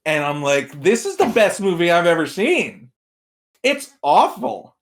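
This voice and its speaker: A man's voice: high-pitched, very animated, measured pace. A man speaking as a podcast guest and film commentator in casual, humorous chat.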